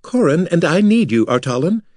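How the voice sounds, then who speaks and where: A man voicing a smug, sharp-tongued female wizard who explains things in a dry, lecturing way. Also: on the high side, big pitch swings, normal speed, dry recording, pitched above the performer's own voice. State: rasping after being choked.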